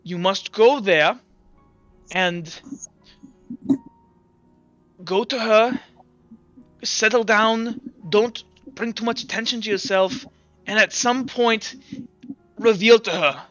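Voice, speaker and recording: A man voicing a grave, kindly doctor in a tabletop game, speaking with halting, earnest urgency. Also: high-pitched, fairly bright tone, dry recording, muffled recording, faint music behind, voice pushed up. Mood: pleading, tender. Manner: halting, earnest, repeats himself.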